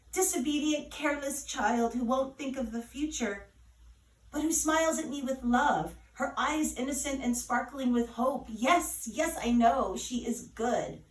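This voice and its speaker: A woman's voice: sits high, voice pushed up. A woman voicing an exasperated, scolding mother in a storytelling reading, with indignant, emphatic questioning. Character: exasperated scolding mother of a carefree island girl. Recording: roomy echo, distant from mic.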